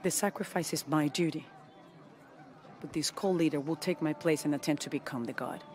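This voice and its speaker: A middle-aged woman playing a dignified, resolute rebel leader in a video game, speaking with grave, measured authority. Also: sits low, darkish tone, a faint crowd, a little hiss or hum, lightly acted. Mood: grave, explaining. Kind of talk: dramatic video game acting.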